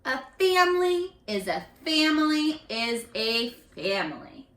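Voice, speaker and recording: A woman reading a picture book aloud to young children in an expressive, instructive way, speaking to them directly as a teacher. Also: sits high, unhurried. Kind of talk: read-aloud for young children with questions to the listeners. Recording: echoey room, distant microphone.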